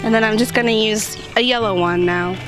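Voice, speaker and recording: Slightly hoarse woman, upbeat gardening vlogger. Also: fairly high pitch, fairly quick. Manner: upbeat, chatty, walks through each step. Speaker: gardening channel host. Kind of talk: casual how-to chat to camera. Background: background music.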